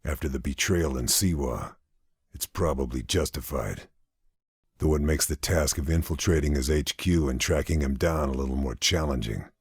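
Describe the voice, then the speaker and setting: A man voicing a calm, methodical wartime sniper in a video game cutscene, speaking in low, clipped, measured tones. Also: breathy edge, dry recording, studio quality, exaggerated voice. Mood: calm, planning. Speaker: sniper protagonist.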